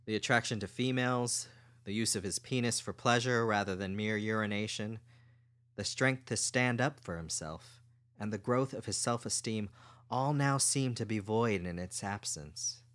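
A man's voice: fairly low pitch, moderate pace, fairly bright tone. A man reading fiction aloud as a scripted story narrator. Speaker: audiobook narrator.

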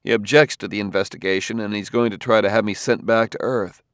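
Man playing a low-voiced, weary, dogged investigator. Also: steady tone, quick pace, no echo, studio quality, natural voice. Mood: grim, frustrated. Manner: blunt, resigned, earnest. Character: weary, dogged male investigator from Earth, troubled but tender.

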